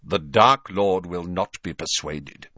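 A stern, guarded wizard speaks in a low, flat, measured way, as a male audiobook reader plays him. His delivery is slow and bright in a dry recording. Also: no room sound, heavily acted. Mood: cold. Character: cold stern wizard, man, middle-aged, guarded.

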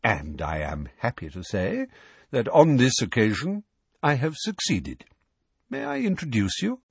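A man voicing an old wizard headmaster, deep-voiced, pleasant and courteous in measured speech. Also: wildly swooping pitch, creaky, husky, no echo, full character voice. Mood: calm.

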